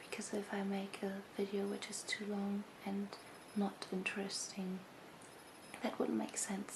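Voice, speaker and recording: A woman speaking softly in ASMR style, gentle and breathy, often close to a whisper. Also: mid-range voice, background noise. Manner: gentle, soothing. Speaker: ASMR storyteller. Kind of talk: soft-spoken ASMR, fairy tale reading and chat. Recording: roomy echo, loud relaxing music behind. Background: relaxing music.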